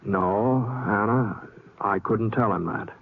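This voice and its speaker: An actor playing an easygoing cowboy drifter with an American accent, in the folksy, hesitant style of old radio drama. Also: male voice, on the low side, slow. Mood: gentle, reluctant. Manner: folksy, hesitant, plain-spoken drawl. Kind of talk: 1950s radio drama acting and first-person narration. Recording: scratchy, tinny, noisy old radio recording.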